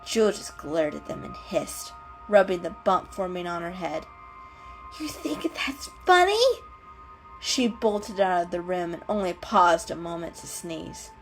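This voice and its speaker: A woman's voice: lowish voice, moderate pace, low-quality recording. A woman narrator giving a dramatic storybook reading. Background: music.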